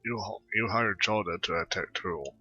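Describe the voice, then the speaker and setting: A man's voice: deep voice, normal speed, pushed and bright, breathy edge, highs cut off. A man giving casual gameplay commentary, bluntly talking back to the game's characters. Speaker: gaming video host, player. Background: faint game music.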